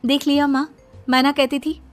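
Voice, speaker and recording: A woman's voice: sits high, flat delivery, normal speed, soft background music, lightly acted. A woman voicing a teasing, outspoken young adult daughter in a cartoon. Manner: teasing, mocking.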